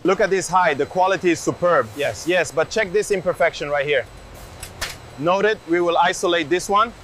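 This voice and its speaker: High-voiced man on a factory floor. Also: normal speed, fairly bright tone, slight background noise. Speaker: factory worker. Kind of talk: short work remarks on a factory floor.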